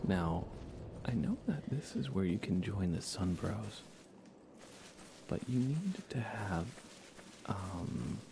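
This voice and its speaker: Low, gravelly man streaming softly. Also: sing-song, slow pace, pauses often, noisy background. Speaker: video game streamer. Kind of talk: soft-spoken ASMR live game commentary.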